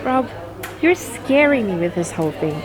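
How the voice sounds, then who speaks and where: A woman's voice: a little high, big pitch swings, normal speed, very noisy. A woman playing a blunt, casual young friend who is uneasy and exasperated, in a conversational audio drama. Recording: busy crowd murmur behind.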